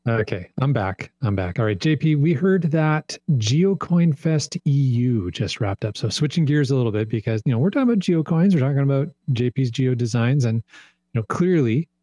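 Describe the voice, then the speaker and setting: A man's voice: medium pitch, expressive, moderate pace, mellow, no room sound. A man hosting a podcast, asking questions in an upbeat, chatty way. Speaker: podcast host. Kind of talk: casual interview chat.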